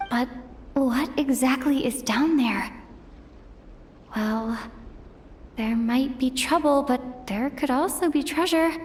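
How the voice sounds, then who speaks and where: Hesitant young adventurer, high and echoing. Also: female voice, a little mellow, slight background noise. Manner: hesitant, thinking aloud to herself. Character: wary, curious young female adventurer exploring a cave. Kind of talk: video game voice acting. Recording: long reverb, music underneath. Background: game music.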